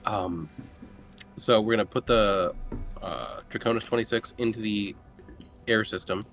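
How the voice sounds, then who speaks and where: A man's voice: fairly low pitch, measured pace, fairly bright tone. A man playing a tabletop roleplaying game, moving between casual game talk and speaking for his character.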